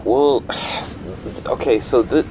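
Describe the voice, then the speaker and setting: A man playing an uneasy man who lives alone, hesitant and halting, in a naturalistic audio drama phone conversation. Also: mid-range voice, noisy background, close to an everyday voice. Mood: hesitant, bemused. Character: uneasy man living alone in a creepy house. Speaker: caller.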